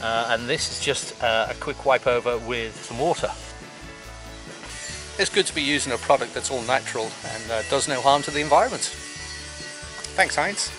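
A middle-aged man hosting a sailing vlog, talking in a relaxed, matter-of-fact way outdoors in a boatyard and narrating voice-overs. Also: mid-range voice, normal speed, long pauses, music in the background. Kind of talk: casual chat and voice-over narration.

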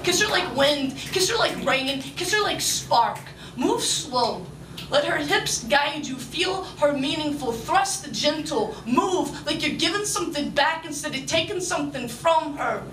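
A woman's voice: high-pitched, expressive, unhurried. A young woman in her twenties performing spoken word poetry on a small club stage with rhythmic, emphatic delivery. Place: small club stage under red lights. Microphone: stage mic on stand. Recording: roomy live sound from a distance, noisy.

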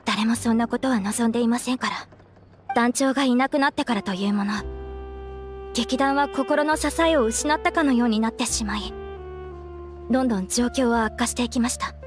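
A devoted young troupe actress, played by a woman, speaks in a high voice with respectful, wistful earnestness. It is polished game acting with music behind. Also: a little fast, lightly acted. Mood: regretful.

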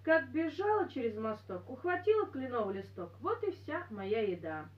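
A woman's voice: on the high side, big pitch swings, moderate pace, highs cut off. A woman voicing a sly, defiant nanny goat from a folk tale, chanting in rhyme. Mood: plaintive lying. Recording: roomy, distant camera microphone.

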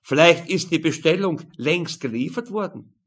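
A man's voice: on the high side, lively intonation, moderate pace, a bit bright, voice pushed up. A man voicing a matter-of-fact veteran detective in an audiobook, explaining dryly.

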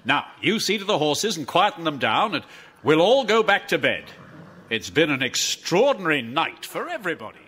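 An actor playing a grateful, authoritative farmer in an audio drama. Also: male voice, fairly high pitch, big pitch swings, moderate pace, bright, forceful tone, breathy edge, exaggerated voice. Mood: grateful, brisk.

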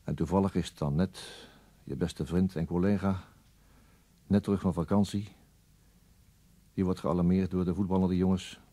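An actor playing a weary, brooding police detective in a radio play monologue, deliberate and resigned, with a husky, gravelly voice. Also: male voice, low voice, fairly even intonation, dark tone, dry recording. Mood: somber.

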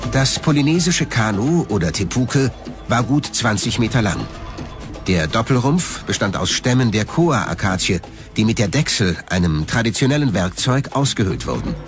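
Man, documentary narrator, scripted reading, medium pitch, expressive intonation, music behind. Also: normal speed.

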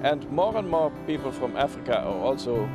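A man in his sixties, formally presenting a medical society outdoors in front of a convention center, measured and a little hesitant. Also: medium pitch, sing-song. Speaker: society chairman presenting the organization. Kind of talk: scripted promotional address. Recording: speech over background music. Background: background music.

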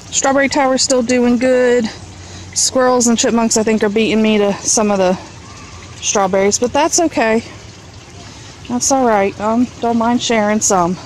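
A woman with a fairly high voice chats casually as she shows viewers around a backyard garden. She speaks off the cuff into a handheld camera, with clear outdoor ambient noise around her. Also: a little mellow, poor audio. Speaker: home gardener giving a tour. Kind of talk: casual off-the-cuff vlog narration. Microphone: handheld camera mic, held by speaker.

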